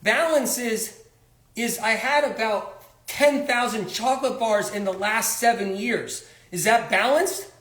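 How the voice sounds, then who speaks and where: A man's voice: high-pitched, a little slow, a bit bright. A man voicing a smug young man who boasts about his balanced diet in a mocking, sing-song way, recorded in an echoey room.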